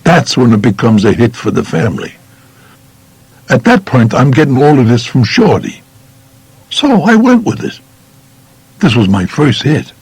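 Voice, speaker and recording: Guy doing a blunt new york mobster telling his story, gravelly, lively swings in pitch, audiobook. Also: a little slow, pauses often, heavily acted. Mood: blunt, matter-of-fact. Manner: colloquial, blunt, matter-of-fact. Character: tough streetwise male mobster recounting his crimes. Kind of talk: first-person audiobook narration in character.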